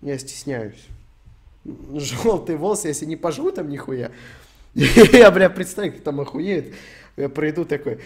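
A man's voice: on the high side, expressive, unhurried, a little mellow, breathy edge. A young man from Ukraine streaming, answering chat questions in a rambling, sweary, joking way. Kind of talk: casual stream Q&A.

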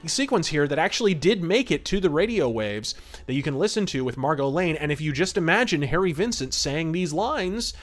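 A man hosting a podcast, giving enthusiastic, wordy and emphatic review commentary. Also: fairly high pitch. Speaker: podcast host.

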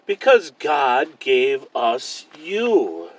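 Man as a tender mother bear, slow and gentle. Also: medium pitch, huge pitch swings, exaggerated voice, low-quality recording. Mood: reassuring. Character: loving, tender mother bear, reassuring her small cub.

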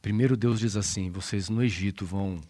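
A man with a Brazilian Portuguese accent, a pastor co-hosting a Bible study, retelling scripture with animated storytelling and frequent fillers. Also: on the low side, fairly quick. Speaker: pastor and co-host.

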